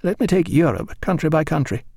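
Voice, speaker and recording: Man acting courteous, higher-voiced government official. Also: big pitch swings, a little fast, creaky, darkish tone, husky, exaggerated voice. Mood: grave, worried. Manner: formal, deferential, precise. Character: courteous, anxious Admiralty official, formal and bookish.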